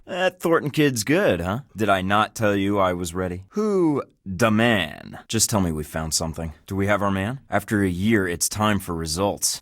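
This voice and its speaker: A man's voice: medium pitch, a bit bright, dry recording. An actor playing a cocky young male secret agent who trades dry, sarcastic quips, recorded close in a studio booth for a video game. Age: young adult. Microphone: close studio mic.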